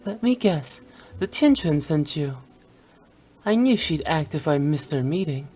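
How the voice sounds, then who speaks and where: A young woman director from a video game, speaks in a lowish voice at an unhurried pace. She is teasing, theatrical and fearless, spinning her lines like a storyteller. Music plays faintly behind her. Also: lively intonation, mellow. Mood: confident. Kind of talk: scripted video game voice acting.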